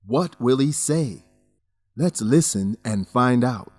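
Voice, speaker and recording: A man hosting and narrating a scripted radio drama for language learners in a clear, measured announcer style. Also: medium pitch, lively intonation, background noise. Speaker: narrator and host. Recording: dry studio voice over music. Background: faint music.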